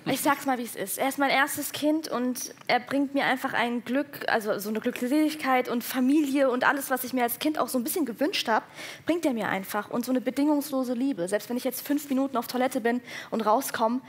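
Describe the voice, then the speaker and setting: Husky young woman with Hessian accent. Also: high voice, fairly quick. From Hesse, Germany. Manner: lively, blunt and self-mocking. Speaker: online comedian, guest. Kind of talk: candid interview talk.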